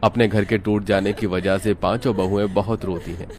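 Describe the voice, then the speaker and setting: A man's voice: on the low side, level tone, normal speed. A man narrating a scripted cartoon moral tale as its storyteller.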